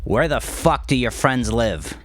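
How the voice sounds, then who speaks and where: Guy putting on a mean gangster dude for an audio story, mocking and sweary, loves hurting people, really swingy pitch. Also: lively intonation, measured pace, slight background noise, lightly acted. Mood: menacing. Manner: taunting, jeering, profane. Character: cruel, mocking male gangster, gleefully sadistic torturer.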